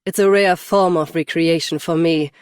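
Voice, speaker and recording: A woman's voice: lowish voice, steady tone, normal speed, a little mellow, smooth, dry recording, lightly acted. Actress doing a stoic swordswoman bodyguard for a game, restrained n commanding, close dry recording. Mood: stern, commanding. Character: stoic battle-hardened champion swordswoman turned bodyguard. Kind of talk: scripted game character voice lines.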